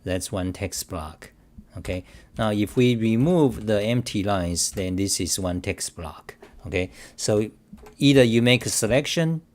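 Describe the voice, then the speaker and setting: A man's voice: fairly low pitch, unhurried, darkish tone. A man hosting his own livestream, talking off the cuff about code, reading chat aloud and answering viewers, with many restarts and emphatic repeats. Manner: rambling, repetitive restarts, defensive and emphatic; reads chat aloud, addresses viewers directly. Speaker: live-stream talk show host. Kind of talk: unscripted coding livestream rant.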